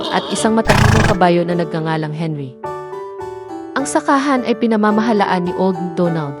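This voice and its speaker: Female narrator, Filipino accent, medium register, for a kids' bedtime tale with a noticeable music bed. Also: mid-range voice. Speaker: children's storyteller. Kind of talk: scripted bedtime story narration.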